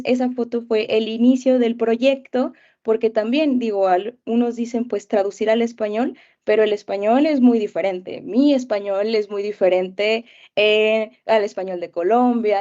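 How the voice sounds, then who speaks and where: A young Mexican woman teacher answering interview questions in a casual storytelling way, with many pauses and fillers. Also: mid-range voice, mellow, lo-fi sound.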